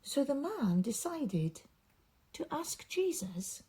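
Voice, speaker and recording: A woman reading a storybook aloud for young children, with dramatic emphasis and drawn-out repeated words. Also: sits high, very animated, very noisy.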